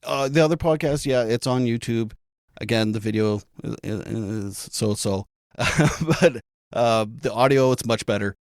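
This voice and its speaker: A man's voice: mid-range voice, unhurried, no room sound, clean studio sound. A man from Canada, a podcast guest, talking in a rambling, self-deprecating way with frequent restarts. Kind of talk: casual interview chat.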